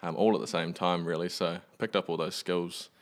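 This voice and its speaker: A young man from Western Australia with a deep voice talks casually as a musician being interviewed. He keeps things understated and matter-of-fact. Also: steady tone, moderate pace. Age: young adult. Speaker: musician guest. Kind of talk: casual chat.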